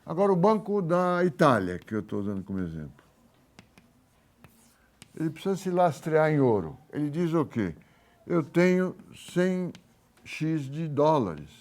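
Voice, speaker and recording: A man with a Brazilian accent giving a university economics lecture, informal and halting, thinking aloud and correcting himself as he works through examples. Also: a little high, very animated, a little mellow. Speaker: economics professor.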